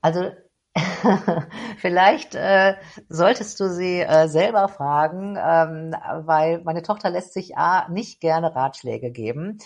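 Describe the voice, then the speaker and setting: Low-voiced hesitant Berlin woman. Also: slow pace. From Berlin, Germany. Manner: hesitant, many fillers and restarts. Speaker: interview guest. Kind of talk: reflective conversational answers.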